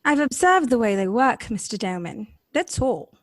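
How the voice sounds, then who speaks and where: Woman playing a high, lively young society lady. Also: moderate pace, dry recording, studio quality. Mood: poised, insistent. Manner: polite, formal, expressive. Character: poised, inquisitive young society woman, twenty-one. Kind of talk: recreated 1930s radio drama acting.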